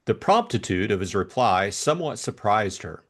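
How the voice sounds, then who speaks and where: A man's voice: fairly low pitch, very animated, normal speed, a bit bright. A man reading scripted story narration as an audiobook narrator.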